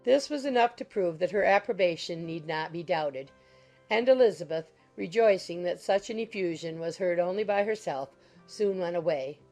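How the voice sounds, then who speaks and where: A woman narrator reading a classic novel in a measured, literary storytelling manner. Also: on the low side, expressive, low-quality recording. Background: soft music.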